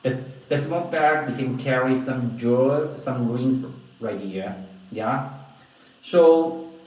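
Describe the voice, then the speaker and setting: A man from southern China with a southern Chinese accent, a native Chinese speaker, hosting a casual livestream and replying to viewers, often halting and repeating phrases as he searches for words. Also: medium pitch, a little mellow. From Guangdong, southern China. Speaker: livestream host. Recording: very echoey room, distant mic.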